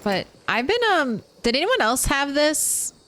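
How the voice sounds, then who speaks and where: A woman's voice: high voice, sing-song, measured pace, a bit bright, a little hiss or hum. A young adult woman streaming a game, chatting casually, thinking aloud and laughing often. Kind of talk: casual chat while gaming.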